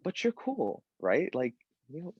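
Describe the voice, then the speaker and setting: A young man speaking at an open mic panel, talking earnestly and directly and quoting people in lively voices. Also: a little high, takes it slowly, slightly rough, dry recording, highs cut off. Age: young adult.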